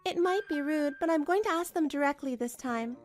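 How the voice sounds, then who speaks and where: High-pitched woman narrating a drama story. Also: expressive, brisk, soft background music. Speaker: narrator and voice actor. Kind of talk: first-person scripted drama narration.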